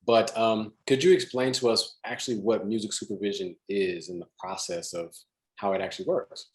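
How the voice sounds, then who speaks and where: A man's voice: on the low side, fairly even intonation, moderate pace. A man in his thirties hosting a video-call panel from his living room, upbeat and welcoming. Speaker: host. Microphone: webcam on video call.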